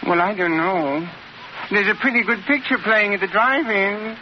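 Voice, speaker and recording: An actor playing a timid, slow-witted small-town postman, hesitant and literal, in old radio sitcom style. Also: high-pitched, some chatter behind, lightly acted. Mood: naive, hesitant. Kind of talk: 1940s-50s radio sitcom acting. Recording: noisy, dull old radio recording.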